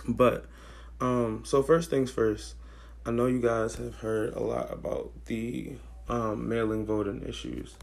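Young guy on a podcast, voice going hoarse, gravelly and raspy, laid-back slang. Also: mid-range voice, slow pace. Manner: relaxed, slangy, thinks aloud with fillers. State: hoarse, losing his voice. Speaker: podcast co-host. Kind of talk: casual chat.

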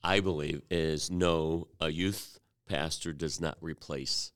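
A man from Cleveland hosting a casual church podcast interview, joking and cutting in with quick affirmations. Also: rumbling low, steady tone, a bit bright. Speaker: podcast host.

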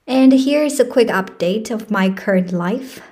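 A woman's voice: mid-range voice, animated, measured pace, darkish tone. A young woman from China with a Chinese accent hosts her own podcast in a casual, earnest way, full of fillers and restarts. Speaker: podcast host. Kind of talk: casual solo podcast talk.

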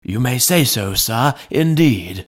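A man voicing an agitated, deferential elderly butler in an audiobook, loyal and frightened, speaking haltingly and emphatically. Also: mid-range voice, expressive, voice pushed up. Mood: eager, resolute. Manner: halting, emphatic, servile address. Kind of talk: dramatized audiobook reading.

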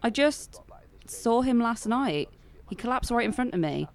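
A woman's voice: fairly high pitch, expressive, measured pace. A young woman playing a concerned, defensive nineteen-year-old in a fan-made audio drama.

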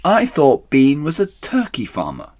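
A man puts on a small young fox for a storybook, a boy full of eagerness. The voice leaps up high and swings about, exclaiming and gasping with wonder. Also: wildly swooping pitch, a little slow, darkish tone, heavily acted. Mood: curious.

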